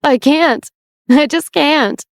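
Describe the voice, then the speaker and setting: A woman voicing a young woman in severe pain, weak and raspy, pleading in halting, broken phrases. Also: sits high, no room sound, studio quality, pitched above the performer's own voice. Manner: halting, breaking off and repeating words.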